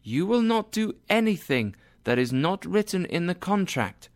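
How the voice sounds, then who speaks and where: Man doing a stern young woman judge. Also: very animated. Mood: stern, commanding. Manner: high, slow and emphatic. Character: young woman disguised as a wise judge, commanding.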